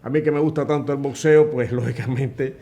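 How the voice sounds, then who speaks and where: A man's voice: mid-range voice, steady tone, a little fast, mellow. A man co-hosting a political commentary show, explaining in a hesitant way with many fillers. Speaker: co-host.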